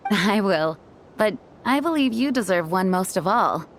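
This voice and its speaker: A poised, gracious knight commander. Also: female voice, medium pitch, expressive, slow pace, a little hiss or hum. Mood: kindly. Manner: courteous, poised, earnest. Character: gracious, dutiful young female knight commander. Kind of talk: video game voice acting.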